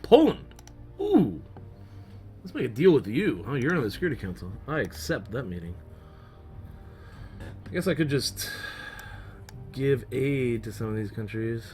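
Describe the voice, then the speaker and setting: Hoarse male gaming host, musing aloud, relaxed play-along commentary. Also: mid-range voice, normal speed, pauses often, faint music behind. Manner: thinking aloud, musing while playing. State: losing his voice. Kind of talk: casual let's-play commentary.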